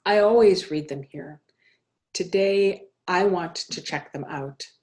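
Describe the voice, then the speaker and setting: A woman reads the part of a young boy in a storybook, earnest and polite. He speaks slowly and plainly, with firm determination. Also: fairly low pitch, a little mellow. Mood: polite, resolute.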